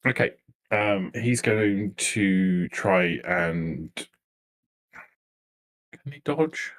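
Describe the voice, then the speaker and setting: A man acting as game master, narrating a tabletop game in a halting, improvised way and repeating phrases as he thinks. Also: lowish voice, animated, fairly bright tone.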